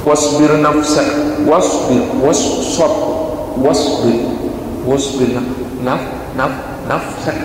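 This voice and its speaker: A man giving a lively, storytelling sermon in a mosque, with high emphatic peaks. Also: very slow, pauses often. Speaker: preacher. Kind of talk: mosque lecture. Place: mosque hall. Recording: echoey mosque hall, faint hiss.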